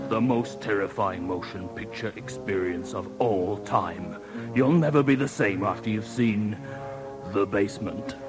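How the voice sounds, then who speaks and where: An actor playing a solemn male movie-trailer voiceover announcer, slow and dramatic, in a radio comedy spoof. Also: mid-range voice.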